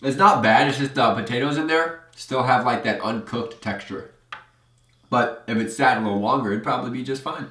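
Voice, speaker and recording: A man hosting a casual food review, talking in an upbeat, playful way in a studio room. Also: a little fast. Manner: upbeat, playful, lively pitch swings. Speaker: channel host. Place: dark studio room, indoors. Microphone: lapel mic. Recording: echoey room, mic off at a distance.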